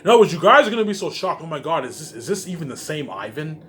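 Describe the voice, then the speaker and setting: Animated man, rambling and emphatic. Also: a little high, fast talker. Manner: repetitive, with frequent verbal tags; emphatic, profane. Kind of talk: rambling casual monologue to viewers. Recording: faint laundry-machine background noise.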